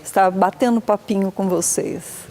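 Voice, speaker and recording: A woman guest on a studio talk show who answers the host graciously and warmly. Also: medium pitch, steady tone, normal speed, low-quality recording.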